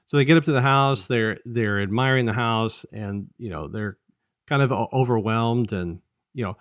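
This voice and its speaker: A man's voice: medium pitch, normal speed, dry recording, studio quality. A man co-hosting a review podcast, casually retelling a story in a rambling way and slipping into paraphrased dialogue. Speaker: podcast co-host reviewing an audio drama. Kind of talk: casual conversational story recap.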